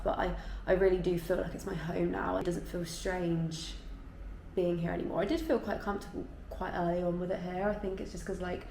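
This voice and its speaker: A woman's voice: on the low side, creaky, dark tone. A woman in her twenties chatting casually and informally as she answers viewer questions in a vlog, often saying "like". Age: 20s. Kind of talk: casual chat to camera, Q&A. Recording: echoey room with faint background noise.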